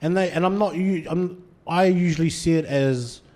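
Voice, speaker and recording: A man's voice: a little high, moderate pace, darkish tone. A man hosting a sports podcast, chatting casually, asking curious questions and agreeing often. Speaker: podcast host.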